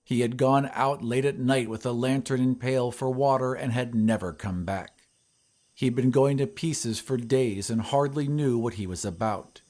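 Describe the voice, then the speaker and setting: A man reading a scripted horror story in a measured, literary storytelling manner. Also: medium pitch, a little mellow. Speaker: audiobook narrator. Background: ambient sound effects.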